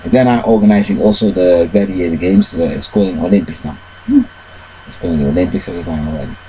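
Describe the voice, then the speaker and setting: A man telling a long, rambling personal story in halting English, with many fillers and loose grammar. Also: low-pitched, dark tone, slight background noise, poor audio. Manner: retells events with shifts into quoted dialogue.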